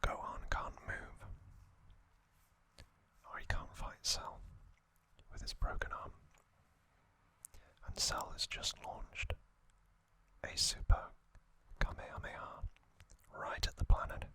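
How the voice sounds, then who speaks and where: A man narrating an ASMR story recap in a breathy, unvoiced whisper, in short deliberate phrases with pauses between them. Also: sharp tone. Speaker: ASMR host.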